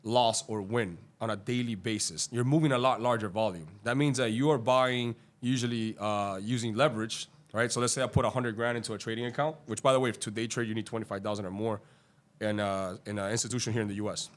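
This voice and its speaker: A man's voice: lowish voice, fairly even intonation. A man from Cuba, a guest on a podcast, chatting casually about his career, swearing freely and rambling with many fillers. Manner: profane, rambling, talks fast with lots of fillers. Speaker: guest, day trader.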